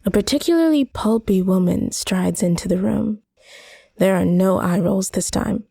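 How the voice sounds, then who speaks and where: A woman narrating a first-person short story expressively, with measured, deliberate phrasing. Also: medium pitch, creaky, dark tone, husky, dry recording, clean studio sound.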